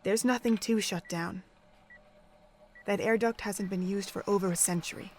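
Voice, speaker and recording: A woman playing a cold, scornful female pony overseer in an audio drama, speaking in deliberate, contemptuous monologue. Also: medium pitch, fairly even intonation, a little mellow, dry recording, natural voice. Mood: cold, dismissive.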